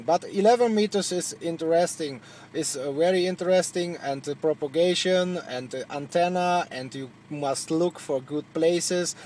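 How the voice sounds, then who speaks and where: A man from Germany with a German accent, chatting as a radio operator in halting, repetitive English, searching for words. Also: a little high, darkish tone, a little hiss or hum. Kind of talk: casual radio contact chat.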